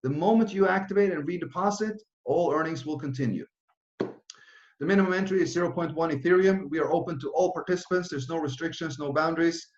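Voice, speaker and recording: A man's voice: on the high side, slight background noise. Man in his thirties, roomy lo-fi sound. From Norway. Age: 30s. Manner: fast, run-on, with frequent restarts and fillers. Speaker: project founder presenting. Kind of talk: online presentation with Q and A.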